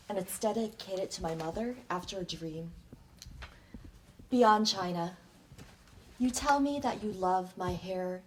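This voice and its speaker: A woman reciting poetry live with solemn, deliberate phrasing, recorded with faint room noise. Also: lowish voice, darkish tone, rough recording. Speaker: poet and performer in an ensemble. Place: public library event before an audience.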